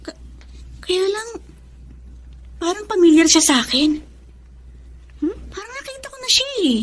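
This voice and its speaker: An actress playing a chatty, inquisitive young best friend in a Filipino radio drama. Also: female voice, very high-pitched, animated, measured pace. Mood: curious, doubtful.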